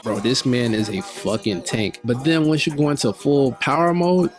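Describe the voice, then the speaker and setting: A man's voice: medium pitch, steady tone, normal speed. Slangy man over faint music. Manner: slangy, hyped, laid-back street talk. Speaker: gaming video creator. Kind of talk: casual gaming commentary.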